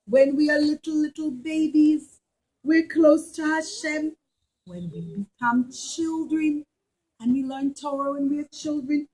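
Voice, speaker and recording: High-voiced woman telling a children's story, unhurried. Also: fairly bright tone, rough recording. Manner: slow, repetitive, simple teacherly phrasing. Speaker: puppet show storyteller for children.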